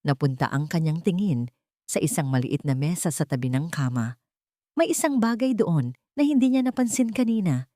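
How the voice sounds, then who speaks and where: A woman narrating a sentimental story in a scripted, dramatic style with emotive, deliberate phrasing. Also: sits low, expressive, dark tone, no echo, studio quality.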